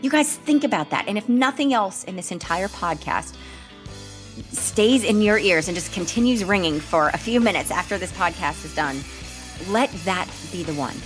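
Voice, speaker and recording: A woman's voice: mid-range voice, lively intonation, moderate pace, faint music behind. A woman in her forties co-hosting a podcast, chatting in a supportive, lightly teasing way with short affirmations. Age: 40s. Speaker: podcast co-host. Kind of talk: casual podcast chat.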